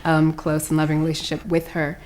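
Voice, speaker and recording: A woman's voice: low voice, monotone, fairly quick, darkish tone. A woman speaking as an actor guest, reflecting in an interview. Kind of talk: reflective post-show interview.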